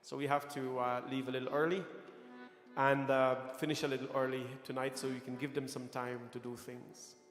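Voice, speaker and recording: A man's voice: medium pitch, level tone, normal speed, faint music behind. Guyanese man preaching in an echoey hall. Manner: earnest, repetitive, rhetorical questions to the congregation. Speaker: temple pastor. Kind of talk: sermon. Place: temple hall.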